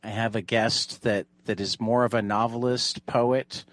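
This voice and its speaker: A man's voice: lowish voice, level tone, a little slow, a bit bright, no echo. A man hosting a podcast, chatting casually with a group. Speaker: podcast host.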